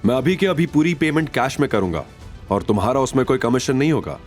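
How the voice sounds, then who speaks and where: A man's voice: medium pitch, lively intonation, fast, faint music behind, pitched above the performer's own voice, lightly acted. A man voicing a proud, defiant young man who speaks firmly and indignantly in an audio drama. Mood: stern anger. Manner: firm, indignant.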